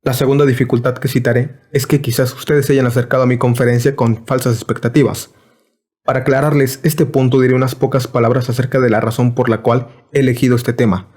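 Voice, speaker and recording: A man giving a measured, formal scripted reading of a philosophy lecture. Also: medium pitch, little pitch movement, darkish tone.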